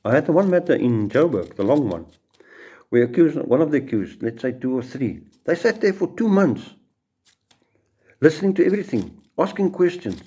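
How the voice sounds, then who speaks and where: A man's voice: fairly high pitch, sing-song, normal speed, warm tone, breathy edge. A middle-aged man with a South African Afrikaans accent, a judge giving an informal law lecture full of courtroom stories and restarts. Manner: storytelling, repeats words and restarts.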